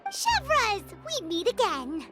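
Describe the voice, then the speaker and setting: A woman voicing a small, curious, chatty fairy companion in a video game, with a squeaky childlike voice. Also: wildly swooping pitch, slow, heavily acted. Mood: chipper. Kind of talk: video game character acting. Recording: music playing behind the voice.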